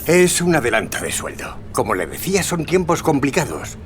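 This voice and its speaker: A man playing an outwardly kindly older man with sinister intent in an audio drama, courteous, coaxing and soft-spoken. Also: fairly high pitch, sing-song, moderate pace, soft background music. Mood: courteous, persuasive.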